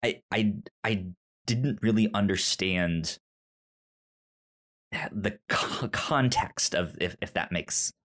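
A man hosting a casual book discussion, halting and rambling, with a stutter that repeats sounds and a self-deprecating manner. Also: fairly low pitch, fairly bright tone. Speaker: podcast host.

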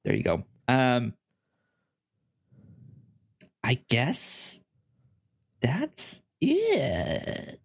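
Casual podcast co-host, animated intonation, unhurried and halting, repeats words. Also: mid-range voice, creaky, husky. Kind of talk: casual chat about TV.

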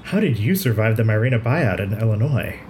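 An actor, a man, playing a kindly, brisk and chatty pathologist in an audio drama. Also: lowish voice, animated, a little mellow, a little hiss or hum. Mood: curious, concerned. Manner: chatty, considerate, thinks aloud. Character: kindly, brisk hospital pathologist, nonbinary, friendly and curious.

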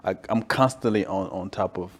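A man in his twenties who comes from Tanzania talks as a guest in a casual interview. His voice sits a little low, and he tells things conversationally, often checking in with short tags. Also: moderate pace, slightly rough. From Tanzania, moved to the US at ten. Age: 20s. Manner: frequent "you know" and "right?" tags. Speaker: interview guest, staff accountant.

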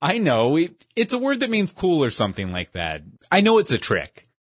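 A man's voice: on the high side, huge pitch swings, moderate pace, breathy edge, no echo, studio quality, exaggerated voice. A man voicing a sarcastic schoolboy who is the new kid at school.

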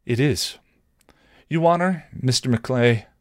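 A man voicing a tough, calm young woman warrior with a firm, challenging manner in a story reading. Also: mid-range voice, takes it slowly, no room sound, studio quality, voice pushed up, natural voice. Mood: defiant.

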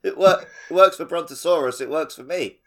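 A man's voice: a little high, a little fast. A man co-hosting a podcast, chatting casually and jokily about video games. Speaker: podcast co-host.

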